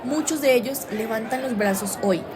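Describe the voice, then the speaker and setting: A woman's voice: fairly high pitch, normal speed, very noisy, lightly acted. A woman playing a solemn radio news reporter, reading a scripted bulletin in a formal, declarative manner. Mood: solemn, proud. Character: solemn female radio news reporter announcing historic events.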